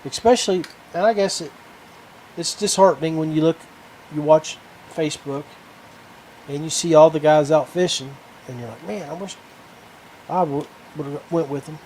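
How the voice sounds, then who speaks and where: An American man with a slightly high voice hosts a casual solo podcast. He rambles and halts, searching for words. There is some background noise around him. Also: normal speed, darkish tone, low-quality recording. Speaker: podcast host.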